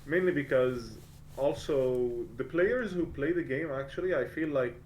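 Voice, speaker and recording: A man's voice: medium pitch, unhurried, a little mellow, slight background noise. A man in his twenties from France and Lebanon talking informally and easily as the guest in a casual interview. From born in France, grew up in Lebanon. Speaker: interview guest, game streamer.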